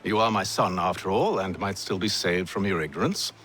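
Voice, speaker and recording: A man's voice: deep voice, a little fast, a bit bright, soft background music. An actor playing a haughty, sardonic middle-aged father and villain in a video game, with a condescending, emphatic delivery. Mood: coolly persuasive. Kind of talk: dramatic video game acting.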